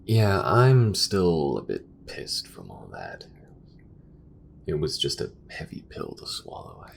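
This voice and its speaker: A man playing a weary, grudging but caring spaceship crewman in an audio roleplay, talking in a halting, conversational way. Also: rumbling low, creaky, slight background noise, full character voice. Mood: grumbling. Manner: halting, conversational, muttering at times. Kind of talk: intimate audio roleplay acting.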